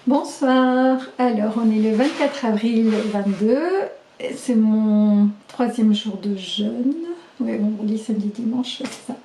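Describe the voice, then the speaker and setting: A middle-aged woman recording a casual video diary, chatty and halting with many fillers, her voice slightly hoarse as she loses it. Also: fairly high pitch, fairly even intonation, warm tone, slight background noise. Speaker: vlogger keeping a video diary. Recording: echoey room.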